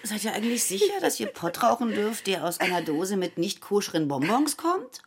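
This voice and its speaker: A woman's voice: mid-range voice, very animated, moderate pace, breathy edge. An actress playing an earnest, chatty wife and mother in a naturalistic radio play. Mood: curious.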